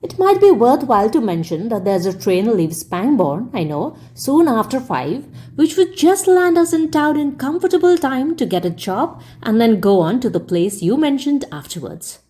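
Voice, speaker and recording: A woman's voice: a little high, sing-song, normal speed, mellow, pitched above the performer's own voice. A woman reading as a dry, grumbling young Englishman, a scheming companion in a storybook. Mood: sly grumbling. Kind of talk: storybook character dialogue.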